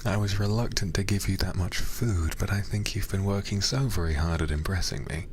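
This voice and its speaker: A man voicing a possessive, condescending demon lord in an intimate ASMR roleplay, speaking slowly and softly, often near a whisper. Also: deep voice, fairly even intonation, slight background noise, lightly acted. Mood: teasing, calculating. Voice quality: soft, breathy, often dropping to a whisper. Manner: slow, deliberate, teasing, condescending. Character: possessive, smooth male demon lord of feudal Japan. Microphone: close ASMR microphone.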